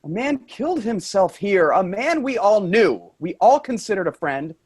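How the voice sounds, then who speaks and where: A man's voice: high-pitched, sing-song, normal speed, slightly rough, heavily acted. A man acting an exasperated but caring office worker, earnest and reproachful, in a staged play reading. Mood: upset, pleading.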